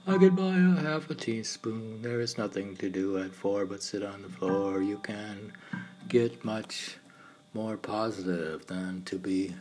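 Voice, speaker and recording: A man reading his own meditative poems in a halting way, now and then repeating or stumbling over words. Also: on the low side, darkish tone. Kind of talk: slow meditative poetry reading. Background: piano and keyboard music.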